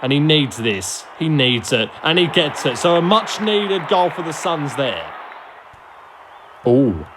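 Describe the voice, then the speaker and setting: A man giving excitable, colloquial play-by-play sports commentary in a blunt manner, often answering his own questions. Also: on the high side, takes it slowly. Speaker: amateur football commentator. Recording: loud crowd noise behind the voice. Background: stadium crowd ambience.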